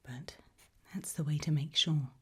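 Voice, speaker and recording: A woman playing a polite, attentive tailor to monsters in an ASMR roleplay, speaking in a soft, breathy, unhurried whisper with a reassuring manner. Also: low voice, fairly even intonation, exaggerated voice. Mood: soft, courteous. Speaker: ASMR performer.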